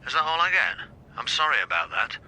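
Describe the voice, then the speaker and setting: Sharp, domineering husband down a telephone. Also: male voice, a little high, very animated, a little fast, full character voice, low-quality recording. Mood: angry, threatening. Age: middle-aged. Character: controlling, bitter middle-aged husband on the telephone. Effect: telephone filter. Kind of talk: radio drama acting.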